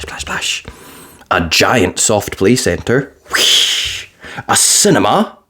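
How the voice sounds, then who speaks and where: A woman reading a children's picture book aloud as storyteller, expressive and playful with sound words. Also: sits low, breathy edge.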